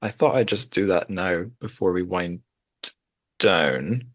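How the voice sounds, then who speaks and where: Male podcast host, deep register, wry and discursive, casual discussion with some hesitant phrasing. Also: animated, unhurried, fairly bright tone, no room sound, clean studio sound.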